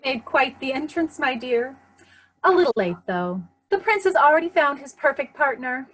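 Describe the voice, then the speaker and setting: Woman as a high-pitched, haughty stepmother. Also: very animated, normal speed, fairly bright tone, low-quality recording. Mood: gloating. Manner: condescending. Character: smug, haughty, scheming fairy-tale stepmother. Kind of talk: staged reading of children's theater.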